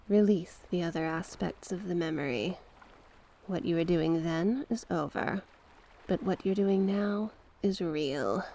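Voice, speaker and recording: A woman voicing a mysterious tentacled sea witch in an ASMR roleplay, a gentle, coaxing mentor who speaks in formal storybook phrases and sometimes slips toward a whisper. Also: fairly low pitch, creaky. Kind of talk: ASMR roleplay, slow scripted monologue.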